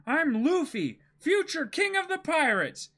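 A man's voice: very high voice, big pitch swings, unhurried, fairly bright tone, voice pushed up, heavily acted. A man voicing an eager, brash, naive young pirate in a boyish, exclamatory way for a manga reading. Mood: eager, impressed.

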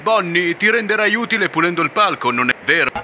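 A man's voice: sits high, animated, brisk. A man playing a bossy bear show robot. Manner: bossy, giving orders. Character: bossy male bear animatronic, show leader. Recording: loud background noise.

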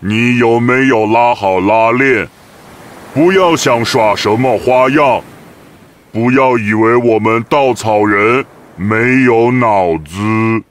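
A man's voice: medium pitch, close to an everyday voice. A man playing a menacing, impatient scarecrow in a children's audio story, speaking in a demanding way. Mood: impatient, threatening. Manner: slow, demanding. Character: menacing, impatient male scarecrow guarding flowers.

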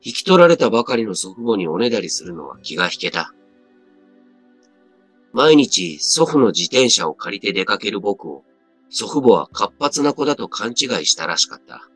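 A man's voice: fairly low pitch, very animated, moderate pace, fairly bright tone, dry recording. A man reading a scripted first-person story as a dramatic narrator. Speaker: story reader. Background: background music.

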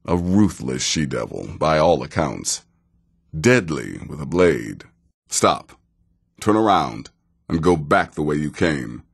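Fantasy game assassin: rock-bottom gravelly bass, dry sardonic wit, unhurried and theatrical. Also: male voice, full character voice. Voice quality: very deep, gravelly. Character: sardonic, deadly middle-aged male desert assassin from a fantasy realm. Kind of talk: fantasy video game character dialogue.

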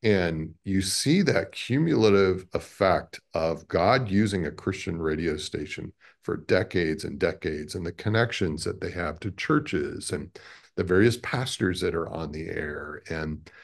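A man in his fifties speaks in a low voice with a lot of fry in it. He answers interview questions conversationally and in a measured, hesitant way. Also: lively intonation. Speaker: radio station president, interview guest. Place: small indoor office, on a video call.

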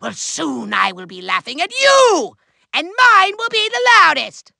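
Super high screechy nasal raspy evil overlord robot for a video game, pompous n gloating, hot-tempered, swoops all over. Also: male voice, wildly swooping pitch, unhurried, sharp tone, dry recording, studio quality, heavily acted. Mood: mocking, raging. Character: short-tempered megalomaniac male villain in giant robot body. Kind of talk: theatrical cartoon villain acting.

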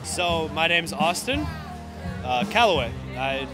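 A man's voice: a little high, very animated, slow. A man in his twenties with an American accent giving a casual student testimonial. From United States. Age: 20s. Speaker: international student. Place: outdoors by campus bike racks. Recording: loud music behind outdoor speech.

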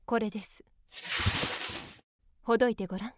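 A woman's voice: a little high, steady tone, normal speed, natural voice. A woman playing a solemn, steadfast widowed mother in a radio drama, grave and restrained. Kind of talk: theatrical radio drama acting. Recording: music behind the voice.

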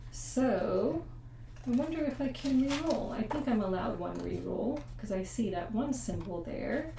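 A young woman hosting a casual board game playthrough at home, chatty and explanatory, often pausing to check the rules. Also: on the high side, animated, vocal fry, noisy background. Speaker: board game channel host. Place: home room at a table. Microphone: desk USB mic with room echo. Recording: echoey room, mic set far off.

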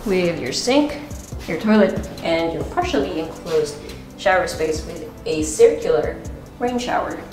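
A woman's voice: fairly low pitch, expressive, measured pace, few pauses. A woman with a Filipino accent hosting a casual, chatty house tour as she walks through empty rooms. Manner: chatty, unscripted, switches into Tagalog asides. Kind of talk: casual guided property tour, presenting while walking. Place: empty rooms and stairwell indoors. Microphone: wireless body mic, pack at waist. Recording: echoey bare rooms, music underneath. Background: music.

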